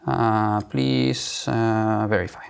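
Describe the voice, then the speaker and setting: A man presenting a live software demo, explaining it informally step by step. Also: lowish voice, fairly even intonation, drawn-out words, creaky, clean tone.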